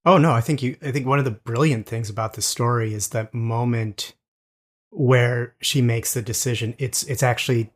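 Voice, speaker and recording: A man hosting a casual podcast interview, speaking enthusiastically in a halting way and often repeating his words as he goes. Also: medium pitch, fairly even intonation.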